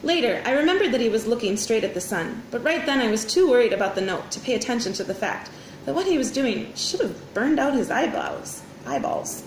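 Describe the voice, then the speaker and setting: Female read-aloud storyteller for kids, bright-eyed teacher delivery, highish voice, dramatic phrasing, echoey room. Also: sing-song, fairly quick. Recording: roomy echo, faint background noise.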